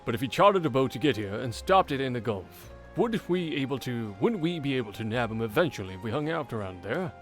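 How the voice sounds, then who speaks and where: A man's voice: medium pitch, sing-song, fairly quick, hardly any pauses, close to an everyday voice. An actor playing an earnest male investigator in a mystery visual novel, with game music behind. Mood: matter-of-fact. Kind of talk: English visual novel voice acting.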